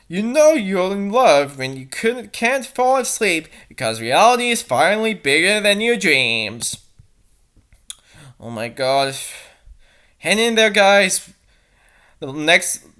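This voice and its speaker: A woman reads fan fiction aloud with very animated, swinging intonation. She is theatrical and exasperated, mixing dramatic narration with mocking commentary. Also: fairly low pitch. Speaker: fanfic reader and commentator. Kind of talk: mocking dramatic story reading with asides.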